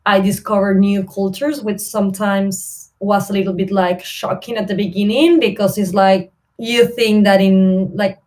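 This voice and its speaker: A woman's voice: medium pitch, little pitch movement, moderate pace, hardly any pauses, darkish tone. Argentine woman chatting as a guest. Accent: Argentine Spanish. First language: Spanish. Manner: friendly, rambling, filler-heavy. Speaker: interview guest, service designer. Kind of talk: casual interview chat.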